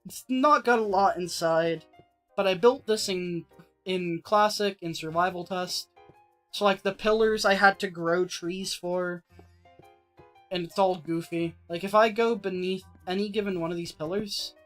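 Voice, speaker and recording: A streamer chatting casually through a let's-play, rambling with lots of filler words and getting a little raspy. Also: medium pitch, measured pace, soft background music. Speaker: streamer playing an old game version.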